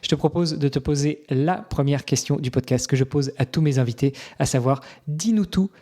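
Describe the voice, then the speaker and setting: A man's voice: on the high side, moderate pace, dark tone. Upbeat French podcast host, man. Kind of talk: scripted intro then interview.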